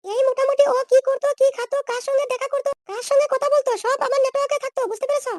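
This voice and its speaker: Squeaky cartoon young woman, acted by a man, very fast, scolding, brash, slangy, dry close sound. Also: little pitch movement, darkish tone. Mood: indignant, explaining. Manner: scolding, threatening, slangy insults. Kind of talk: comedy cartoon dialogue.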